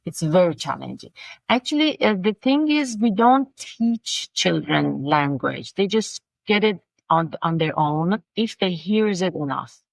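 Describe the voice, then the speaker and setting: Gravelly low-voiced Persian-accented woman. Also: lively intonation, no room sound. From Iran. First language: Farsi. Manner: halting, searching for words, frequent restarts. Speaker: guest, designer and language coach. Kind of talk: casual interview conversation.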